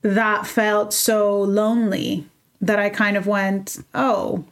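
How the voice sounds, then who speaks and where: A woman's voice: medium pitch, little pitch movement, slow. Earnest woman in her thirties. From El Salvador. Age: 30s. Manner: earnest and heartfelt, with frequent restarts. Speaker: guest, fashion designer. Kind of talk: reflective podcast conversation.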